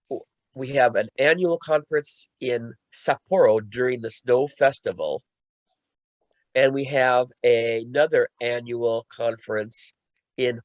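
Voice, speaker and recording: Male, 60s, mid-pitched, measured and halting panel speaker, close dry recording. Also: no room sound, rough recording. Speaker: conference panelist and language teacher. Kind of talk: informal self-introduction in a group discussion.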